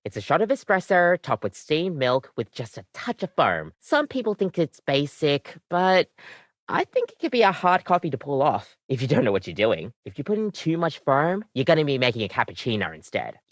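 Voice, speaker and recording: A woman's voice: deep voice, very animated, moderate pace, no room sound, studio quality. A woman voicing a friendly, chatty coffee maker come to life as a barista in a video game, with bubbly, slightly awkward customer patter. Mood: earnest, chatty. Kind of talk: animated video game voice acting.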